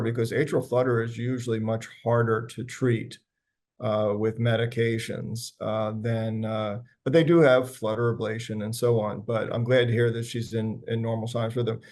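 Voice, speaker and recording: A man speaking as a physician on a health panel, answering audience questions conversationally with many pauses and restarts. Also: medium pitch, a little mellow. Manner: conversational, frequent fillers and restarts. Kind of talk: medical panel Q&A.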